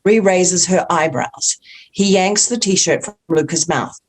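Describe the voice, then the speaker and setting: A woman reads scene descriptions from a screenplay. Her pitch is slightly on the low side. It is scripted narration for a table read. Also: a little slow. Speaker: stage-direction narrator.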